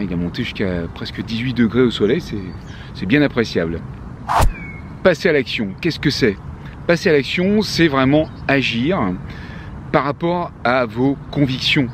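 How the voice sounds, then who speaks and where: A man's voice: medium pitch, expressive, moderate pace, background noise. A French man in his fifties, talking casually to camera from a park bench, chatty, with many fillers and restarts. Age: 50s. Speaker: vlogger, life coach. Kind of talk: casual motivational talk to camera.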